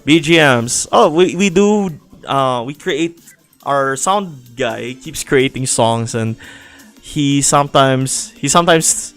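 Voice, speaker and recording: A man's voice: medium pitch, takes it slowly, a bit bright, soft background music. A man hosting a casual game livestream, answering viewer questions with frequent restarts and laughter. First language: not Japanese.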